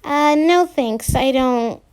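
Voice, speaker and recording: A woman's voice: sits high, slow, a little mellow, clear, resonant tone, poor audio. A woman voicing a wry teenage girl who teases dryly and gets flustered when caught out. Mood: teasing.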